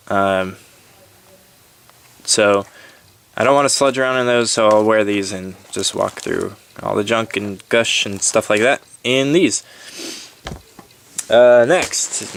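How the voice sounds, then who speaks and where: A man giving a casual, unscripted walkthrough in a halting, informal way, as if thinking aloud, indoors on a handheld camera. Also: lowish voice, a bit bright. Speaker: hiker presenting his gear. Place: indoors in a room at home. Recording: background hiss, handling clicks and crinkling.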